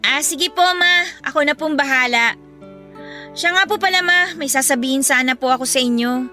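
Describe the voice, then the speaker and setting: A woman's voice: high-pitched, moderate pace, sharp tone, faint music behind. An actress playing a dutiful, hurt young Filipino daughter who pleads respectfully with her mother in a radio drama. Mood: careful, apologetic. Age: young adult. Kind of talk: emotional radio drama acting.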